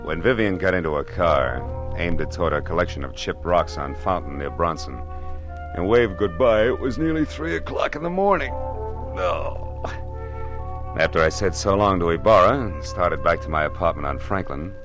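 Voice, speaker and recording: A dry, wisecracking private detective in a 1940s radio drama. His low voice rises and falls a lot as he needles suspects and muses aloud. Faint music sits under the old broadcast. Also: animated, moderate pace. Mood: musing. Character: hard-boiled male private detective, dry and wry. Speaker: detective narrator.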